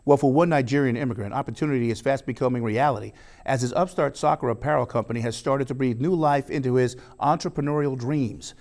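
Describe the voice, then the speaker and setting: A middle-aged man anchors a sports show from a TV studio. He delivers with lively, joking asides at an ordinary pitch. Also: fast talker.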